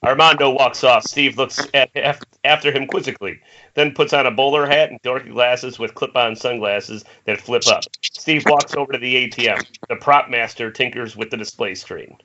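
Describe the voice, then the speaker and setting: A man's voice: mid-range voice, normal speed, pushed and bright. A man narrating, reading out screenplay stage directions in a table read. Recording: muffled internet call, faint noise.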